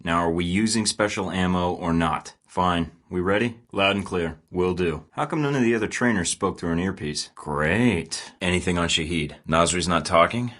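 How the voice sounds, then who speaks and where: A man's voice: low-pitched, normal speed, hardly any pauses, fairly bright tone. An actor playing a dry, sarcastic male secret agent in a video game, delivering wry, understated lines in a studio.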